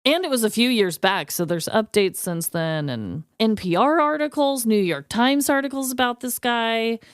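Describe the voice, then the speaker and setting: A woman co-hosting a podcast, telling stories in an informal, expressive way. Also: fairly high pitch, normal speed, smooth, no room sound, clean studio sound. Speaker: podcast co-host. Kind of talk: casual chat and scripted storytelling.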